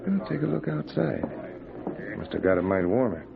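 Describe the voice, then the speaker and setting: Drawly old-west barkeep, gravelly and wary, noisy old radio recording. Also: male voice, mid-range voice, very animated, normal speed, narrow, tinny audio. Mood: wary, folksy. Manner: drawling, homespun, hedging. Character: folksy, wary frontier cafe keeper. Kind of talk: 1950s radio drama acting.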